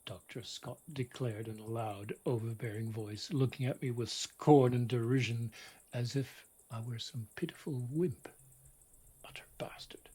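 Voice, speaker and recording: A middle-aged man with a husky voice that often drops to a whisper, telling a scripted bedtime story in a wry, dramatic, deliberate way. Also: mid-range voice, steady tone, mellow.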